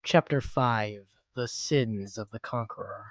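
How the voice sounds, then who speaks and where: A man's voice: on the low side, a little slow, dry recording. A man reading scripted story narration in the role of narrator. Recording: dry voice over background music.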